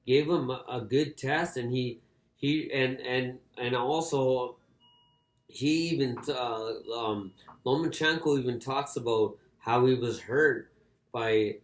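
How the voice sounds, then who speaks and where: Man talking boxing in an echoey room. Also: mid-range voice. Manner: halting, repeats words and phrases. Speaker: boxing podcast co-host. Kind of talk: casual sports discussion. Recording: echoey, roomy sound.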